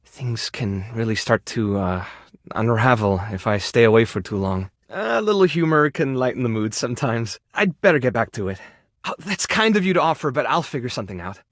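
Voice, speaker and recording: A male actor plays a soft-hearted, self-effacing animal rescuer from a video game. His delivery is shy and earnest, full of small hesitations. The pitch moves around in an animated way, and the recording is dry, with no room sound. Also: brisk, dry recording, close to an everyday voice. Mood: apologetic, weary. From Mexico (character). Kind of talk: video game character voice acting.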